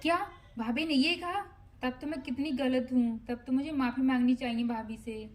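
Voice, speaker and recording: A woman's voice: sits high, steady tone, normal speed, natural voice. A woman playing a vain, defiant younger daughter-in-law in a family moral-story skit, argumentative and petulant. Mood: remorseful. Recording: roomy echo with music behind.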